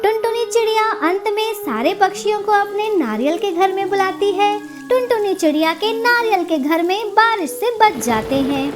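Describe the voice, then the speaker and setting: A woman narrates a scripted children's story. Her voice is extremely high in pitch. Music plays behind the narration. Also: animated, moderate pace, few pauses.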